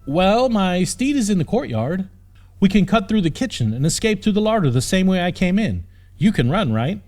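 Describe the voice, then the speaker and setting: A man's voice: sits high, sing-song, moderate pace, a little mellow, voice pushed up. A man voicing a cocky, flippant, bumbling knight with a breezy, wisecracking manner in a comic fantasy audiobook. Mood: businesslike.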